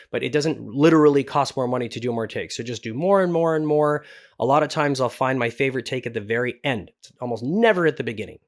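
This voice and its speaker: A man hosting a tutorial as a voice actor, talking casually and informally with some self-deprecating humour. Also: mid-range voice. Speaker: host, voice actor giving a tutorial. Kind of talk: casual instructional talk.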